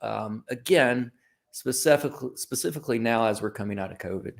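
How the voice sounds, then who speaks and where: A man's voice: medium pitch, brisk, creaky. Informal, discursive man in an interview. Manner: informal, discursive, self-correcting. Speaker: guest, creative company founder. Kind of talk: conversational interview answers.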